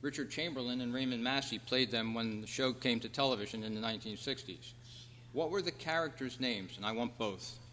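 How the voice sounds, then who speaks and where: A man hosting a trivia quiz at a club meeting, reading out questions in a measured, announcing way. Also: medium pitch, a bit bright. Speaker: quiz host. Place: hall at a convention club meeting. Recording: reverberant hall, clear background noise and hum.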